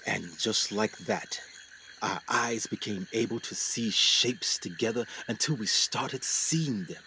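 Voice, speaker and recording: A man's voice: fairly low pitch, slow pace, a little hiss or hum, full character voice, rough recording. A man playing an English explorer doctor in a radio play, theatrical, full of awe and haunted brooding. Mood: awed, narrating. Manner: theatrical, breathless wonder, swings to hushed brooding.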